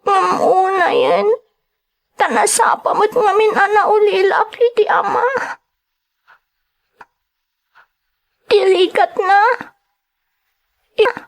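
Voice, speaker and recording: A woman's voice: extremely high pitch, steady tone, slow, gravelly, pauses often, full character voice. A woman playing a poor, hurt but determined young schoolgirl in an Ilocano drama, with a Filipino Ilocano accent and a plaintive, pleading delivery. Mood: sorrowful. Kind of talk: Ilocano radio-style drama acting.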